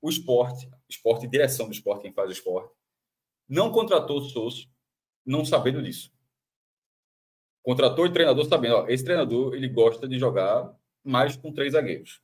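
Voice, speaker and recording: A Brazilian man analyzing football on a panel show, animated and full of restarts and repeated phrases. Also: medium pitch, moderate pace. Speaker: football commentator. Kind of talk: sports analysis panel chat.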